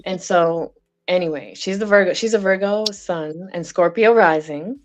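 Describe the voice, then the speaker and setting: A woman's voice: fairly low pitch, moderate pace. A middle-aged woman from Canada telling a meandering personal story in casual chat. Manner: chatty, meandering, trailing off. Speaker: guest. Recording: dull lo-fi sound with faint music.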